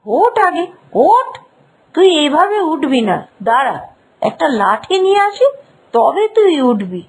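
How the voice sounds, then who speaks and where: A woman's voice: sits high, sing-song, a little slow, heavily acted. A woman voicing a cruel, harsh, sarcastic mother who scolds and threatens in a cartoon fairy tale. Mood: angry scolding. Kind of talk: animated fairy-tale voice acting.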